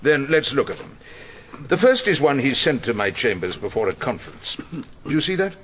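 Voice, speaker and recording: Wily, irascible older English male barrister: highly animated, dryly ironic radio-drama delivery over noticeable background noise. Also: normal speed. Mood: probing. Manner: theatrical, probing, dryly ironic. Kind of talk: radio drama courtroom acting and narration.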